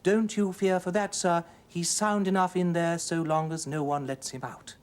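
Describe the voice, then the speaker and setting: A man's voice: sits high, fairly even intonation, moderate pace, close to an everyday voice. A man voicing a respectful old village odd-job man in a storybook, emphatic and reassuring.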